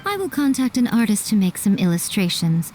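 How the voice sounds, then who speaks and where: Mellow, sly fox-spirit lady voiced by an actress. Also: mid-range voice, big pitch swings, soft background music, lightly acted. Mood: businesslike. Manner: poised, unhurried, teasing. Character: sly, composed female fox-spirit shrine maiden and publisher. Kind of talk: video game character acting.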